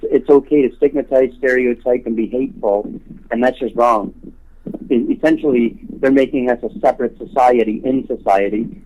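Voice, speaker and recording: Earnest man, halting phone interview delivery. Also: mid-range voice, muffled recording, a little hiss or hum. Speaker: interview guest, homelessness awareness activist. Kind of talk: telephone interview, spontaneous advocacy talk. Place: on a telephone call. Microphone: telephone.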